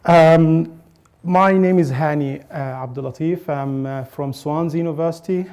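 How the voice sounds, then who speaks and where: A man from Egypt giving an academic conference presentation, speaking in a halting way with frequent pauses and restarts. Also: a little high, a little mellow, smooth.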